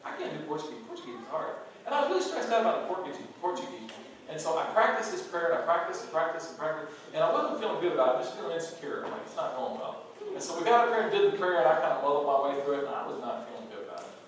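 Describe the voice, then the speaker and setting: A man's voice: a little high, rapid-fire. A man preaching a humorous storytelling sermon as pastor, acting out the voices of people in his stories. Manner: animated, humorous, acts out quoted voices. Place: large church sanctuary. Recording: very echoey hall, heard from far off, noisy.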